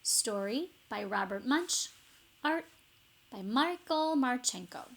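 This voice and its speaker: Slow, expressive woman reading a storybook. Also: fairly high pitch. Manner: deliberate, dramatic emphasis. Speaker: storybook reader. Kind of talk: expressive children's story narration.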